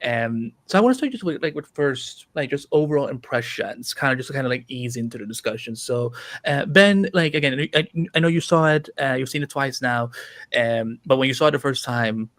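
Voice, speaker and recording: A man's voice: medium pitch, expressive, brisk, fairly bright tone, no room sound. A man in his early thirties hosting a live podcast review, loose and chatty, full of fillers. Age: 30s. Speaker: podcast host. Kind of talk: casual live-stream chat.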